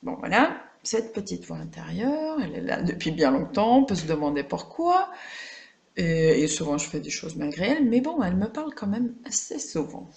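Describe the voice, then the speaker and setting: Hesitant woman vlogger with slightly creaky voice. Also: on the low side, very animated, normal speed, a little mellow. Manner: hesitant, reflective, self-deprecating. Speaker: craft vlogger. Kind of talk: casual, unscripted personal vlog chat.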